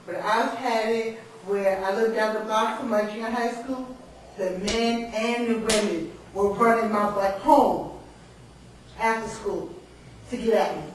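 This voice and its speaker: A very echoey, distant and noisy recording of a woman from New York. She tells an informal personal story at a slow pace, voicing bits of dialogue as she goes. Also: on the high side, steady tone. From Bronx, New York. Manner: informal, acts out quoted dialogue. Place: indoor literary salon, far from mic.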